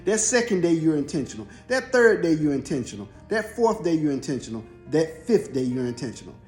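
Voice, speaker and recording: A man's voice: fairly high pitch, big pitch swings, brisk, darkish tone, soft background music. An American man co-hosting a casual advice talk, telling motivational analogies with emphatic, repeated phrasing. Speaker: co-host giving marriage advice. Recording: roomy echo.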